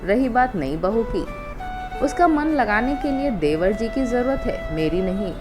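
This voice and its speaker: A woman's voice: a little high, expressive, measured pace, voice pushed up. A woman voicing a young married daughter-in-law in an audio story, hurt and indignant, firmly standing up for herself, over music. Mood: firm, defiant. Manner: firm, indignant, emotional protesting.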